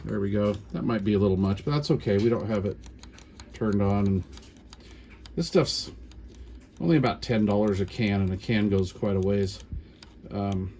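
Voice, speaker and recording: A middle-aged or older American man giving an informal hands-on how-to explanation in an unhurried, halting, folksy manner. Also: on the low side, mellow. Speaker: repair tutorial host. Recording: clear background noise.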